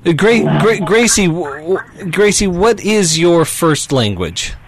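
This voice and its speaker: A man's voice: high voice, very animated, slow, noisy background. A man hosting a call-in advice radio show, talking directly and challenging callers.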